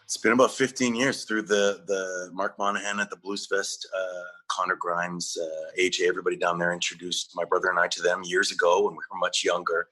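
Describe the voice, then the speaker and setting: A man with a very low voice and a bright, forceful edge talks about his music. He answers interview questions in a relaxed, conversational way, stopping often with fillers and repeating words as he goes. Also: fairly quick, hardly any pauses, slightly rough, rough recording. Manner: hesitant, many fillers and restarts. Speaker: musician guest.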